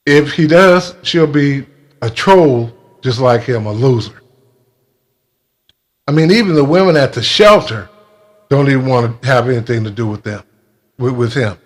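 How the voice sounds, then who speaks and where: A man speaking in a casual monologue, with a halting, blunt and emphatic way of talking. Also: mid-range voice, animated. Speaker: video creator telling a personal grievance.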